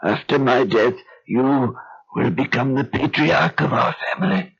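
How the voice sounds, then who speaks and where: An actor playing a dying ancient male patriarch who speaks slowly and pleads with his son, in an old-time radio drama. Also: medium pitch, gravelly, a bit bright, breathy edge, full character voice. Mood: solemn farewell. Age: older. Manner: slow, trembling, pleading. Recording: muffled lo-fi old recording.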